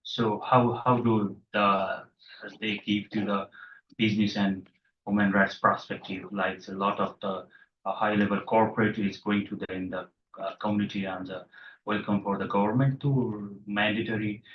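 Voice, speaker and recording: A man from Nepal speaks from the floor, his lowish voice picked up from a distance in a roomy hall. He talks haltingly, breaking off and restarting with fillers. The sound is a little dull. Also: level tone, slight background noise. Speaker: audience member asking a question. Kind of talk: floor question at a panel.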